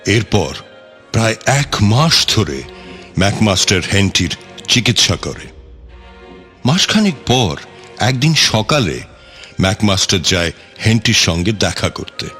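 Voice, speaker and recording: A man narrates a radio drama with a lowish voice. His intonation rises and falls expressively as he sets the scene. Light music plays under him. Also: big pitch swings, a little slow, long pauses, bright, forceful tone.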